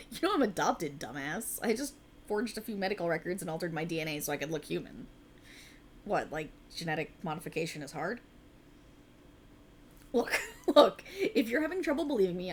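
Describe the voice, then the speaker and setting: Woman playing a lively low-voiced alien girl friend. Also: a little hiss or hum. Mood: teasing. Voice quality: soft, intimate and lively, with blunt teasing and swearing. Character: young adult alien woman hiding as a human friend, earnest and teasing. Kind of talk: ASMR roleplay.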